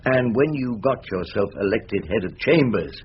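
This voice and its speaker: An actor playing a wry, rumpled older English barrister in a radio drama, with an orotund, ironic, rhetorical way of talking. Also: male voice, on the low side, lively intonation, normal speed, lightly acted. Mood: mocking. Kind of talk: BBC radio drama acting and first-person narration. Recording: dull, noisy old radio recording.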